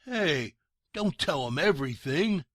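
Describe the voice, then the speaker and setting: An actor playing a bossy, self-satisfied thug in a noir radio drama, gruff, impatient and raspy. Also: male voice, on the high side, expressive, measured pace, fairly bright tone, no echo, clean studio sound. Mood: irritated. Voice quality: rough, raspy.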